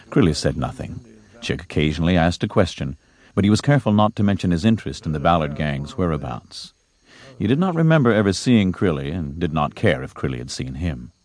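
A man narrating a scripted western story in a measured, dramatic storytelling manner. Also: low-pitched, a little mellow. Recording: music under the narration. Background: music.

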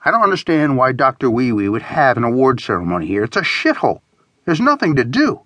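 Man playing a grumpy, sardonic, reluctant traveler, lively intonation. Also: medium pitch, quick pace, dry recording, pitched above the performer's own voice. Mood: grumbling. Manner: grumbling, sardonic. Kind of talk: acted audiobook dialogue.

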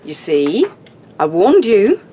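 An actress playing a forthright, insistent British solicitor friend, brisk and assertive in a naturalistic radio drama. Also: female voice, mid-range voice, huge pitch swings, dark tone, a little hiss or hum. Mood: confrontational.

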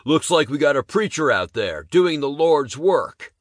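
A man's voice: on the high side, very animated, a little slow, no echo, pitched above the performer's own voice. A man voicing a wry army sergeant with a dry sense of humor.

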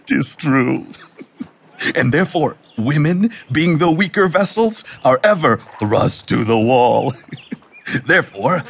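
An actor in a Shakespearean audio drama playing a cocky, bawdy young servant who boasts and leers with drawn-out emphasis. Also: male voice, a little high, lively intonation, gravelly, husky, full character voice. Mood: lewd bravado. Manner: boastful, leering, chuckling, drawn-out emphatic delivery.

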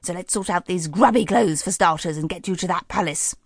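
A woman's voice: on the low side, fairly quick, dry recording, clean studio sound. A woman voicing a kindly, bustling old fairy godmother who talks in a cosy, motherly way. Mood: cheerful.

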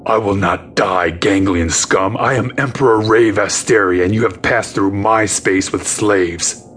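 A man's voice: on the low side, unhurried, a bit bright, slight background noise. A man voicing a stern, commanding male alien emperor in an audiobook. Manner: cold, commanding.

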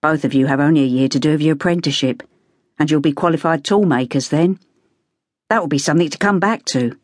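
Steady protective big brother, voiced by a woman narrator, low and even. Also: quick pace, no room sound, clean studio sound. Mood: reasoning. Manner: calm, reasoning. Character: steady protective young man, older brother.

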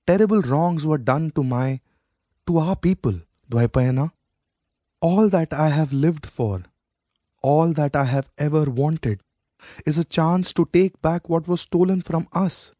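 A man reading a story voices a proud, vengeful old queen, dying, with a feeble hoarse rasp. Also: fairly high pitch, unhurried, dark tone, no echo, studio quality, pitched above the performer's own voice. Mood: commanding.

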